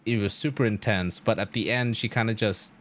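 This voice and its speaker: A man giving casual live-stream game commentary, thinking aloud in a halting, rambling way full of filler words. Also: on the low side, steady tone, a bit bright, a little hiss or hum.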